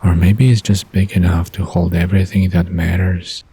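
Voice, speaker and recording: A man playing a wistful, dreamy man recalling the past in a soft, murmuring bedtime drama monologue. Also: deep voice, flat delivery, moderate pace, slightly rough, exaggerated voice.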